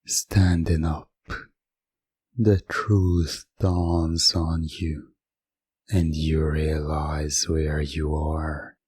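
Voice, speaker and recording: Deep, very slow hypnotic male narrator. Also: little pitch movement, slightly rough, dry recording. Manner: hushed, suggestive, dramatic pauses. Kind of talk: scripted second-person hypnosis ASMR storytelling.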